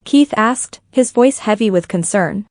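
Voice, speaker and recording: A woman's voice: mid-range voice, lively intonation, unhurried, darkish tone, no echo, studio quality. A woman reading scripted fan fiction as a story narrator.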